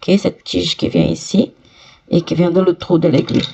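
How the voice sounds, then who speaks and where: A woman with a cold, her voice stuffy, slowly talking viewers through each step of fitting a part on a sewing machine. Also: on the low side, fairly even intonation, gravelly, dark tone, breathy edge. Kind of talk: step-by-step workshop demonstration.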